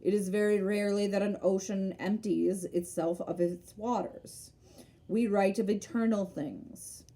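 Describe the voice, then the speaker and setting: Storybook geographer: woman as a self-important old man, pedantic, emphatic, mid-pitched and mellow. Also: fairly even intonation, measured pace, natural voice. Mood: matter-of-fact. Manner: pompous, emphatic lecturing.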